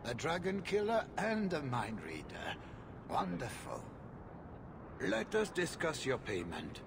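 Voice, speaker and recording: Animated, creaky mine boss. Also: male voice, fairly high pitch, slow pace, background noise, exaggerated voice. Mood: businesslike. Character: shrewd, excitable male mine owner, money-minded. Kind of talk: video game acting.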